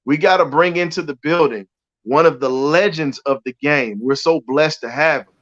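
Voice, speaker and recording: A man's voice: on the high side, normal speed, a bit bright. A middle-aged man hosting a sports talk show, introducing his guest with enthusiasm and plenty of fillers and restarts. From United States. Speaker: show host. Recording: slightly dull video call audio.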